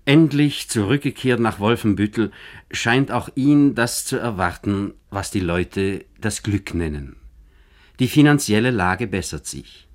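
A man giving scripted literary narration for radio, formal and measured. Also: on the low side, lively intonation.

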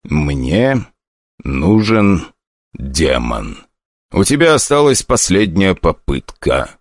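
A man voicing a cold, emotionless male demon hunter who speaks flatly like a machine. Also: sits low, slow pace, dry recording, exaggerated voice. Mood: cold menace. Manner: flat, machine-like, dry menace.

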